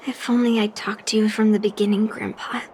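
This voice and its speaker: A woman's voice: medium pitch, level tone, moderate pace, a little mellow, a little hiss or hum, close to an everyday voice. An actress voicing an earnest, sincere young swordswoman and granddaughter in a video game, a little hesitant. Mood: regretful. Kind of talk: English video game voice acting.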